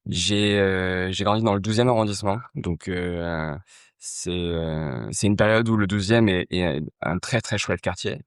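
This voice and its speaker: Man from Marseille, 30s, low smooth voice, hesitant podcast guest, dry close recording. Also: unhurried, no echo. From Marseille, France. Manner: hesitant, many fillers and restarts. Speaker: festival co-founder, guest. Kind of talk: casual interview talk.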